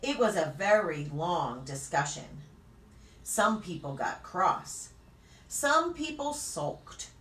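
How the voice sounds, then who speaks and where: A woman reading a children's story aloud expressively, with deliberate phrasing and dramatic pauses. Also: fairly low pitch, very animated, fairly bright tone. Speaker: pastor reading children's stories. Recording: roomy, distant mic with faint noise.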